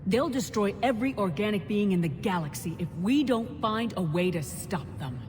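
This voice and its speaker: A woman's voice: medium pitch, lively intonation, moderate pace, natural voice. An actress playing a resolute female military commander in a game, speaking firmly and earnestly. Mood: urgent, resolute. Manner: firm, earnest. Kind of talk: dramatic game dialogue. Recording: reverberant hall sound, music behind.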